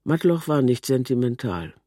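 A man's voice: medium pitch, sing-song, normal speed, mellow, dry recording, studio quality. A man narrating a scripted literary prose text.

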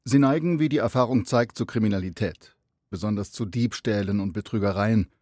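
A man's voice: fairly low pitch, a little fast, warm tone, no echo, clean studio sound. A man reading an archival quote aloud in a stern, formal way. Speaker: quote reader.

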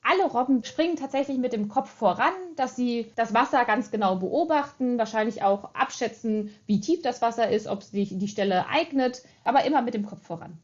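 A female expert with a fairly high voice explains things informally and matter-of-factly. The sound is a little dull and lo-fi. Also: brisk, few pauses, darkish tone. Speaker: seal expert.

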